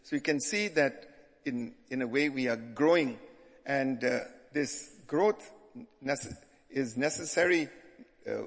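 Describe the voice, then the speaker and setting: Older Indian-accented bishop, halting delivery, in a reverberant hall. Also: male voice, medium pitch. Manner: hesitant, frequent restarts. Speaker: visiting bishop. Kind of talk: sermon-style address.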